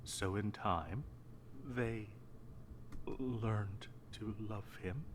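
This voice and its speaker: A man's voice: on the low side, noisy background. A man playing a possessive yandere prince in a story game, telling a bedtime story in a tender, controlling manner. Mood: eerily tender. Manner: slow, tender, controlling delivery. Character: possessive yandere prince, soft-spoken and menacingly tender lover. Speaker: game voice actor.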